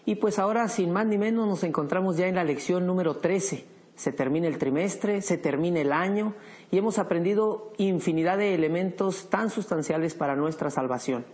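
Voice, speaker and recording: A man hosting a Bible study program, leading the discussion in a measured teaching tone. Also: high voice, a little mellow.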